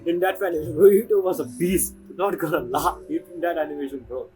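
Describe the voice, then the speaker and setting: A woman's voice: fairly low pitch, fast, warm tone. A woman giving casual, opinionated gameplay commentary in an informal way as she plays. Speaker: game streamer. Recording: game music behind the voice. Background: game music.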